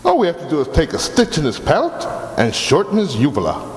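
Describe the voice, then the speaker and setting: Male actor as blithe surgeon, radio comedy. Glib, extremely swooping pitch, reverberant hall. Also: wildly swooping pitch, brisk. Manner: breezy, glib, reassuring. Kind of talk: old-time radio comedy acting. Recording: echoey hall, long reverb, background noise.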